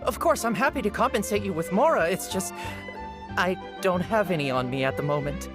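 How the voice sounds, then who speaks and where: Nervous young paper seller, apologetic, lively voice, game music under. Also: male voice, high-pitched, brisk. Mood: flustered. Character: young, flustered male newspaper seller. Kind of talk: video game voice acting.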